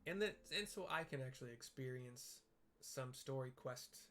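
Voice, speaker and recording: A man's voice: mid-range voice, expressive, moderate pace, fairly bright tone. A man giving casual, hesitant and self-deprecating game commentary, rambling as he describes what is on screen. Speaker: video game streamer and narrator. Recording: clearly audible background noise.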